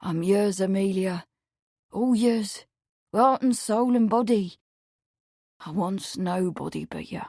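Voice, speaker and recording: A woman's voice: medium pitch, takes it slowly, pauses often, warm tone, dry recording. Young English working man, voiced by a woman: awkward, devoted, emphatic yet muttering, dry close storybook sound. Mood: earnest devotion.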